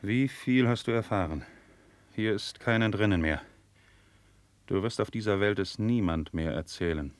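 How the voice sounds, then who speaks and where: A man's voice: lowish voice, moderate pace, pauses often, no echo, natural voice. An actor playing a resolute, commanding nobleman in a declamatory 1950s German classical radio drama style. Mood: menacing. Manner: declamatory, imperious, forceful. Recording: dry 1950s radio studio recording.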